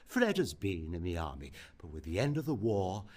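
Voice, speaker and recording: A man playing a genteel, sinister horror host in a radio play, theatrical and florid, savouring his words with long pauses. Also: sits low, very animated, darkish tone. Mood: relishing, ominous. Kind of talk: spooky radio play narration.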